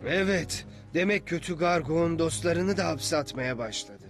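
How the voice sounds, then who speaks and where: A man playing a weary, dignified imprisoned king in a dubbed cartoon, measured and grave. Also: fairly high pitch, animated, over music. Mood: bitter. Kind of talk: dubbed cartoon drama acting.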